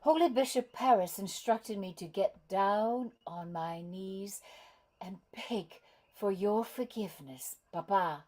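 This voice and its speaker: A woman playing a headstrong young daughter who acts dutiful and penitent, speaking deliberately at a play table read. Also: medium pitch, a little mellow. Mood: penitent, pleading. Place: indoors, on a video call.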